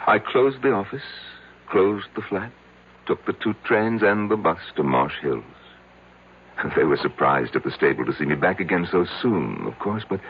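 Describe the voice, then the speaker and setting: An actor playing a lonely, haunted older man confessing a cruel secret in halting phrases with dramatic pauses, in old-time radio style. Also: very deep voice, slight background noise, heavily acted. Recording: dull lo-fi vintage radio, music behind.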